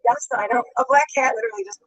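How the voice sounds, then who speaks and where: A woman's voice: high voice, expressive, racing, fairly bright tone, no echo, rough recording. A woman chatting casually on a livestream, greeting viewers by name and rambling from topic to topic. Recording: sped-up archived livestream audio.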